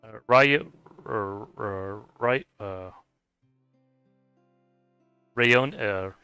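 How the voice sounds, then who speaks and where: Man, game streamer, slow unhurried talk, smooth clear voice, dry close mic, faint music behind, hesitant. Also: on the low side, bright, forceful tone, dry recording. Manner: hesitant, stumbling over name pronunciations, chuckling. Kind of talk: casual live-stream chat.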